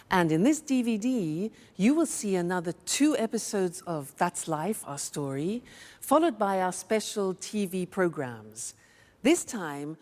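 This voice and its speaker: A woman presenting a language-teaching programme, reading a clear scripted introduction to viewers. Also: medium pitch, a little mellow. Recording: long hall-like reverb.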